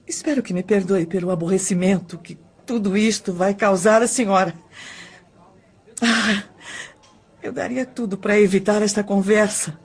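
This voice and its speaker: Husky, hesitant young daughter in distress. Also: female voice, medium pitch, darkish tone. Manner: hesitant, halting, pleading. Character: distressed young adopted daughter, timid and ashamed, confessing. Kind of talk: audio drama acting.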